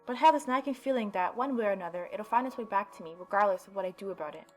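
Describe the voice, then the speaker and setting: A woman's voice: mid-range voice, racing, soft background music. A woman hosting a gaming video, reading visual novel narration aloud in a dramatic storytelling way with playful asides.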